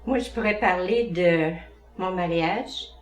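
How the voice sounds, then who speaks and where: A woman's voice: on the low side, slow pace, reverberant, far from the mic. A woman from the Estrie region with a Quebec French accent, introducing herself in a group interview. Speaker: interview participant.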